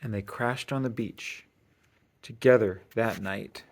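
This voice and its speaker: A man reading a rhyming children's picture book aloud in an expressive, sing-song storytelling manner. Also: fairly low pitch, a little slow. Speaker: storybook reader.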